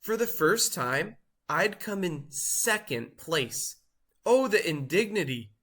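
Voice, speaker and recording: A man voicing a boastful, show-off cheese in a theatrical, grandiose storybook read-aloud with drawn-out emphasis. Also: high-pitched, animated, bright, forceful tone, full character voice. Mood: astonished, indignant.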